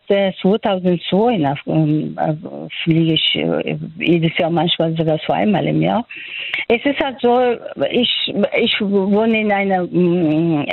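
An older woman from Afghanistan speaking German with an Afghan accent, answering interview questions haltingly and searching for words. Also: medium pitch, gravelly, no echo, phone-quality sound. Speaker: doctor, interviewee.

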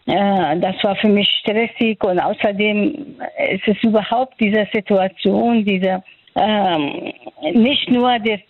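A woman's voice: medium pitch, dry recording. A woman in her sixties from Afghanistan, a doctor giving a radio interview and speaking hesitantly with many fillers and restarts. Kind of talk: radio interview over the phone. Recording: tinny phone line.

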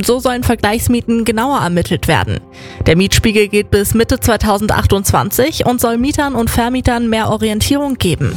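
A woman co-hosting a regional morning radio show, reading local news in a clear, steady way. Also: mid-range voice. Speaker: radio news presenter and co-host.

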